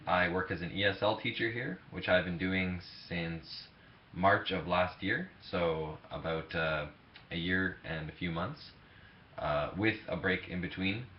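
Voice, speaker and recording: A man in his twenties, a teacher, giving a relaxed webcam grammar lesson with many fillers. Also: low voice, slight background noise. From Canada. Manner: relaxed, halting explanation with fillers. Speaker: ESL teacher. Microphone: webcam mic. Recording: echoey room, dull lo-fi webcam audio.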